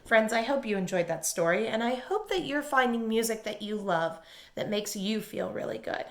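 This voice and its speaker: Expressive woman reading aloud, echoey room. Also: fairly high pitch, moderate pace. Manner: expressive, emphatic, addresses young listeners. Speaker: preschool teacher reading aloud. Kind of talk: children's storybook read-aloud.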